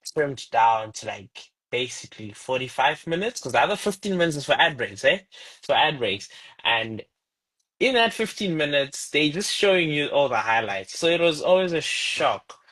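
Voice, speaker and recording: Animated, bright-voiced man in his twenties. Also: low-quality recording. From Zimbabwe. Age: 20s. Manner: animated storyteller with lively pitch jumps. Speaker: guest. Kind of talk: casual interview chat.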